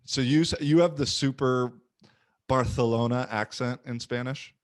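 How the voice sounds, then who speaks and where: A young man hosting a podcast, chatting casually and animatedly, with quick asides and little voice impressions in his stories. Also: mid-range voice, fairly even intonation. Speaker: podcast host. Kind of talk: casual conversation.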